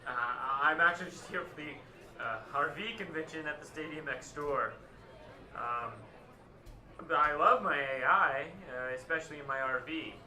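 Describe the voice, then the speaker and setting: A man playing a bumbling, easygoing RV enthusiast in an amateur radio play, halting, sheepish and polite. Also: a little high, bright, forceful tone, distant mic. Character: bumbling, easygoing male RV fan. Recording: roomy, echoing sound.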